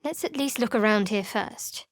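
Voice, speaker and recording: A woman's voice: medium pitch, moderate pace, no echo, lightly acted. A woman voicing a guarded, sardonic young cleric adventurer in a video game, speaking with dry, cutting wit and cool reserve. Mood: wry.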